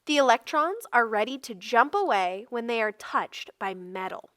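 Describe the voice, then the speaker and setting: A woman reading a non-fiction children's book aloud in a measured, instructional way, phrase by phrase. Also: fairly high pitch, expressive, fairly bright tone, no room sound, studio quality. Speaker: read-aloud narrator.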